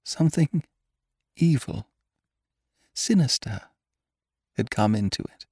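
Man doing a troubled young woman, halting, voice climbing when scared, lively pitch, dry sound. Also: slightly rough, no room sound. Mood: frightened. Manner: hesitant, trembling, rising to alarm. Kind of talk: audiobook character voice.

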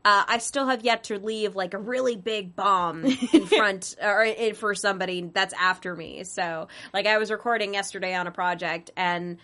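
A woman's voice: medium pitch, normal speed, hardly any pauses, fairly bright tone. A young woman voice actress chatting as an interview guest, giggly and self-deprecating, rambling with frequent restarts. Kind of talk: casual interview chat.

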